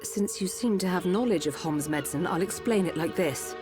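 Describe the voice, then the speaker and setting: A woman voicing a calm, caring doctor of a machine race in a video game cutscene, speaking in a measured, reassuring way. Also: on the low side, close to an everyday voice. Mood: grave, reassuring. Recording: loud music behind the voice.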